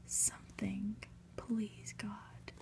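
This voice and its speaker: A woman reads a storybook in a hushed ASMR whisper. She takes her time at a slow pace, letting phrases linger with soft, deliberate pauses between them. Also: medium pitch, flat delivery, creaky. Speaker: ASMR reader, channel host.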